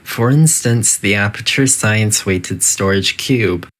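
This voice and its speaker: A performer voicing a polite, coolly menacing computer announcer in a video game, speaking in a formal, measured, detached way. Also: mid-range voice, close to an everyday voice. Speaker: facility announcer guiding test subjects.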